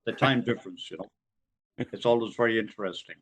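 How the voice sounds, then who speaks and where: A man's voice: on the low side, a little fast, fairly bright tone, breathy edge. A man hosting a podcast from Ireland, talking in a rambling, informal way with many fillers. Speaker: podcast host. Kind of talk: podcast interview.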